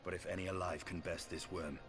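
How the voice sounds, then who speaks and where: A low-pitched, proud dragoon, resolute and stern. He speaks with grave, old-fashioned formality, like a fantasy game hero, over prominent music. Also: little pitch movement, brisk. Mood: wry, resolute. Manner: grave, formal archaic speech. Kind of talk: fantasy video game cutscene acting.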